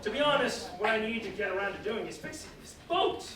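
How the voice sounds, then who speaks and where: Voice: mid-range voice, animated, crowd noise, close to an everyday voice. An actor playing a weary, grumbling old fisher in a theatrical stage monologue, exclaiming and drawing out the words. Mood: sly, grumbling. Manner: theatrical, exclamatory, drawn-out delivery with sighs. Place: live staged reading in a reverberant room. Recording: very echoey hall, distant mic, noisy.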